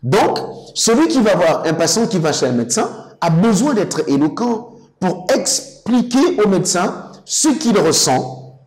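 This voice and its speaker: Emphatic higher-pitched man. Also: a little mellow. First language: an African language. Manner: emphatic, rhetorical, deliberate articulation. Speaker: interview guest, public speaking coach. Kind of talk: studio interview, explanatory and didactic.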